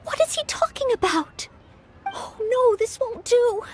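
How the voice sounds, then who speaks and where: A woman's voice: extremely high pitch, sing-song, unhurried, heavily acted. A woman voicing an earnest, modest young maid knight in a game, polite and a little hesitant. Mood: flustered. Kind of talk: video game character acting. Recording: background music under voice.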